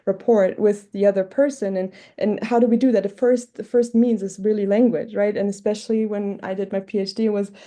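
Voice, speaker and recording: A woman's voice: mid-range voice, fairly even intonation, a little fast, hardly any pauses, dark tone. A woman from Germany with a German accent, giving an informal academic talk in a reflective, hesitant, self-correcting way. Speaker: multilingual scholar presenting at a book talk.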